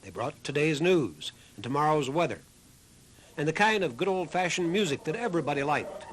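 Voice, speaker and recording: A man reading scripted documentary narration in a measured storytelling way. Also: on the high side, lively intonation, low-quality recording. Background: music.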